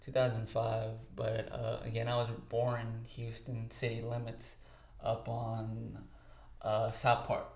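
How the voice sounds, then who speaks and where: Easygoing young man with gravelly voice. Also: mid-range voice, monotone, unhurried, darkish tone, roomy sound. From Texas, United States. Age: 20s. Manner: talkative, easygoing, many fillers. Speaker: podcast co-host and guest. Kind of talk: casual interview chat.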